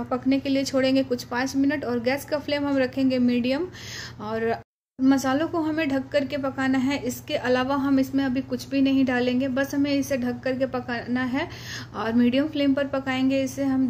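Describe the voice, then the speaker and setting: A high, fairly even-toned woman talks patiently through each cooking step, often pausing with a soft "uh" as she goes. Her home voice-over carries a faint hiss of background noise underneath. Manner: patient, explanatory, frequent "uh" pauses. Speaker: cooking channel host.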